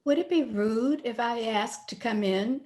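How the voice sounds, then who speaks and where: An actress playing a wounded, bitter young daughter in an old-time radio melodrama, dramatic in manner. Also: female voice, a little high, a little slow, a little mellow, close to an everyday voice. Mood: quietly hurt. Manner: accusing, dramatic.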